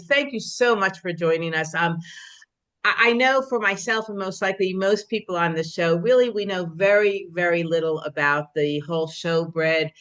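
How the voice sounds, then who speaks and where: A woman interviewing a guest on a video call, chatty and quick to laugh. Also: on the low side. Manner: warm, laughs often, chatty. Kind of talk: conversational interview.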